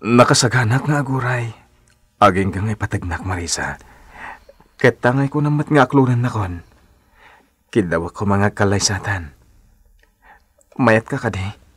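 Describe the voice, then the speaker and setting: An actor with an Ilocano accent playing a devoted, persistent young suitor, earnest and imploring, in a radio drama. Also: male voice, on the low side, expressive, moderate pace, pauses often, fairly bright tone, breathy edge, no room sound, studio quality. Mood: hopeful, eager. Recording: noisy AM radio drama recording.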